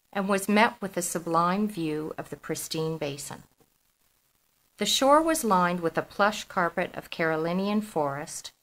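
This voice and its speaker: A middle-aged woman from Toronto with a Canadian accent, an author speaking in a measured, articulate way as in a literary reading. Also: lowish voice, a bit bright, poor audio. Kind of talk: literary reading and self-introduction.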